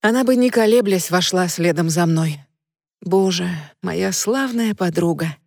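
A woman's voice: fairly low pitch, measured pace, darkish tone, no echo, studio quality. A woman narrating a gothic romance audiobook in the first person, emotional and intimate.

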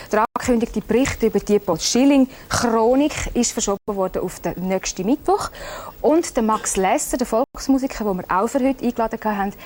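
A woman hosting a TV talk show, presenting and interviewing in a chatty, slightly halting way. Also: fairly high pitch, darkish tone, slight background noise.

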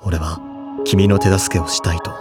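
Man as a deep-voiced, serious teenage boy. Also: fairly even intonation, normal speed, loud music behind the voice, lightly acted. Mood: earnest, anguished. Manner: stiff, earnest, formal. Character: serious, reserved high school boy, earnest and brooding. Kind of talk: anime audio drama dialogue and letter reading.

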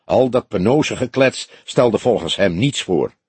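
A man's voice: lowish voice, lively intonation, measured pace, a little mellow, husky, no room sound, full character voice. A man voicing an earnest young male detective, a questioning and slightly wry junior partner in an audiobook.

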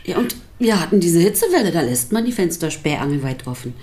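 A composed pastor's wife in a radio play. Her voice is low, and she speaks with dry irony and careful discretion. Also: sing-song, warm tone. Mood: dry, discreet. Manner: dry, measured, delicately euphemistic. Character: composed, dryly ironic pastor's wife giving testimony. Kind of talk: German radio drama acting.

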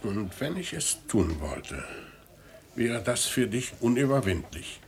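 A man acting an aging, imperious merchant father in a classic radio drama, speaking in a measured, formal way that can flare into indignation. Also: mid-range voice, big pitch swings, gravelly, heavily acted. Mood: weary, pleading.

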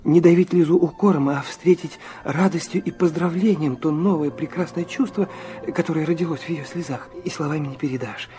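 A man's voice: on the high side, fairly quick, dark tone, close to an everyday voice. An actor playing a feverish, proud young man, narrating his own torments intensely and theatrically in a radio play. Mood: reflective. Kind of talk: dramatic first-person radio play narration.

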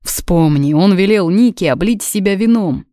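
A woman's voice: mid-range voice, takes it slowly, dry recording, studio quality, pitched above the performer's own voice, natural voice. A woman voicing a blunt, friendly young man in an audiobook, hungover and hankering for beer. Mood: indignant. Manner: blunt, drawling.

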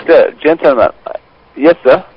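A man with an Iranian accent working as a hotel receptionist, speaking halting, repetitive English on a dull phone recording. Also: on the high side, breathy edge, no room sound. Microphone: telephone line.

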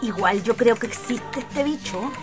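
A keen, practical schoolgirl speaks in a high voice with a Chilean accent. She is upbeat and slangy, as in an educational radio play, over faint music. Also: expressive, unhurried. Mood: enthusiastic. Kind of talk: scripted educational radio drama.

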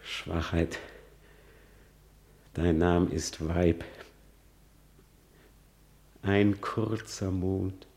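An actor playing a grieving, bitter young prince in a classical radio drama, with deliberate declamation that moves between hushed and forceful. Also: male voice, low-pitched, steady tone, heavily acted. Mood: anguished, disgusted. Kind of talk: classical German stage-verse radio drama acting.

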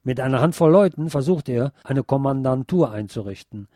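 A man hosting a radio programme, reading scripted narration in a measured, deliberate way. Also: medium pitch, expressive, warm tone, dry recording, clean studio sound. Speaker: radio show host.

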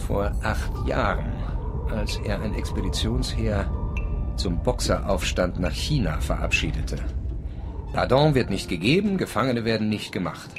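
Low-voiced sardonic journal narrator. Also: male voice. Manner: dry, literary, terse. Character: sardonic male traveller narrating his sea journal. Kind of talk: scripted radio drama narration.

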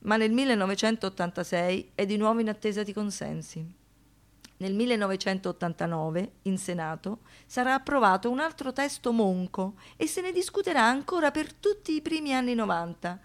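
A woman narrates a scripted dramatic documentary. Her voice is medium in pitch. She speaks in a measured, deliberate way, as a stage narrator would.

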